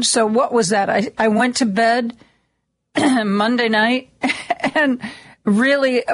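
A woman hosting a radio talk show in a studio, with a slightly raspy, hoarse voice and a chatty, self-deprecating way of talking full of ums and restarts. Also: on the high side, no room sound. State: a little hoarse, lingering cough. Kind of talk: casual on-air monologue. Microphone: studio microphone.

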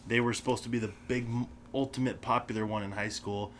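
Quick young male co-host, rambling storyteller, faint noise behind. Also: medium pitch, fairly even intonation. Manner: rambling, storytelling, lots of fillers. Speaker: podcast co-host. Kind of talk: casual chat between friends.

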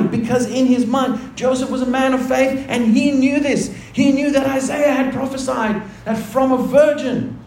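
A man with a high voice preaches a sermon in an echoey church room, with a faint hum of ambience underneath. He poses rhetorical questions to the congregation and alternates between brisk asides and slow, dropped-down phrases for emphasis. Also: darkish tone. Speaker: pastor.

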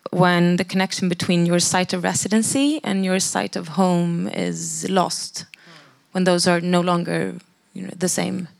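A woman moderates the discussion and feels her way through her questions. Her voice is low and gravelly. The recording is dry and close, with no room sound. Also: no room sound. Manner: hesitant, searching phrasing.

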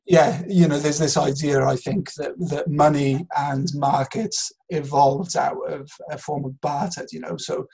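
A man's voice: a little high, level tone, a little slow, vocal fry, hardly any pauses, a little mellow, breathy edge, low-quality recording. A man in a casual intellectual discussion, explaining things in a hesitant, rambling and hedged way.

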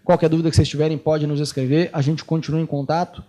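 A man's voice: fairly high pitch, level tone, fast talker, warm tone. A Brazilian man with a Brazilian Portuguese accent who hosts a missionary talk show, speaking emphatically and laughing often. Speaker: missionary host.

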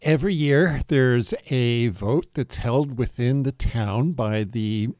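An older man with a mellow, mid-pitched voice gives unscripted interview answers. He is measured and matter-of-fact, and the sound is dry and close. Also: dry recording. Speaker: radio interview guest, community volunteer.

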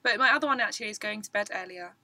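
A woman's voice: mid-range voice, very fast, bright, forceful tone, dry recording. A young woman co-hosting a podcast, chatting casually, giggly and self-mocking with frequent ums. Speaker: podcast co-host. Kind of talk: casual chat.

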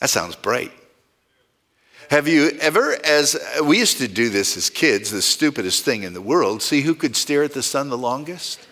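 Man, pastor, sermon, animated pitch, bright tone, rhetorical questions. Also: moderate pace. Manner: conversational preaching with rhetorical questions.

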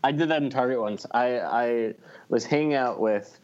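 A man's voice: mid-range voice, normal speed, a little mellow. Wry man, slightly dull lo-fi sound. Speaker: podcast co-host. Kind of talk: casual chat among friends.

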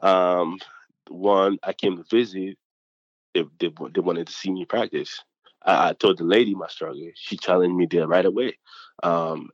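A young man from Nigeria, whose first language is not English, telling stories as a student athlete in a casual interview, chatty and animated, with many fillers. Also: deep voice, dry recording, dull audio.

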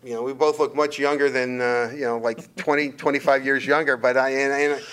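A middle-aged man from Detroit, hosting a casual sports interview in a wordy, halting way. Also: mid-range voice, fairly even intonation, a bit bright, clean tone, low-quality recording. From Detroit, Michigan. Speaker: sports show host, interviewer.

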